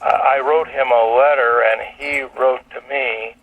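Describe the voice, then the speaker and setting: A man speaking as an attorney on a telephone conference call, formal and measured as he puts procedural questions. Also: mid-range voice, fairly even intonation, creaky, no echo. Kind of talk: formal legal phone discussion. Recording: muffled, dull telephone line.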